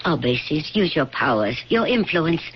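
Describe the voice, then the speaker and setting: Passionate young noblewoman, low-voiced, old radio. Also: big pitch swings, measured pace. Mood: desperate pleading. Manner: earnest, pleading, formal diction. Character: passionate, grief-stricken young noblewoman of ancient Pompeii. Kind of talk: classic radio drama acting. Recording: dull, noisy old radio broadcast.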